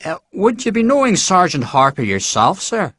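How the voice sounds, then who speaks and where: A man's voice: high voice, big pitch swings, moderate pace, fairly bright tone, slightly rough, dry recording, studio quality, voice pushed up, full character voice. A man voicing a huge, good-natured young Irishman with an Irish accent, speaking in a hesitant, polite way.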